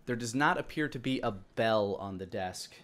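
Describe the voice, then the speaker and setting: A man in his thirties narrating a live tabletop game as dungeon master, improvising in a halting way. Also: fairly low pitch, expressive, a bit bright. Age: 30s.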